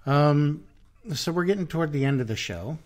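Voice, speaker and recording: A man hosting a podcast interview, talking in a quick, casual, encouraging way. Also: fairly high pitch, expressive, darkish tone. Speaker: podcast host. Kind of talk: interview chat.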